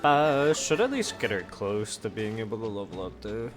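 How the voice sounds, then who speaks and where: A man's voice: fairly low pitch, lively intonation, normal speed. A man giving casual gameplay commentary, rambling and thinking aloud as he plays. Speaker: game streamer. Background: video game music.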